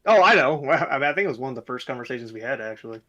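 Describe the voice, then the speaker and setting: A man's voice: mid-range voice, lively intonation, very fast, a bit bright, dull audio. A man interviewing on a casual stream, chatting in an encouraging, joking and slangy way like a fellow fan.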